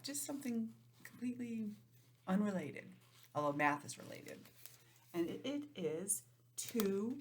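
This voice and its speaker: A woman teacher giving an informal, encouraging lesson to young children, sounding out letters and counting deliberately. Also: low voice, a little mellow, a little hiss or hum. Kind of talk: children's lesson, read-aloud introduction.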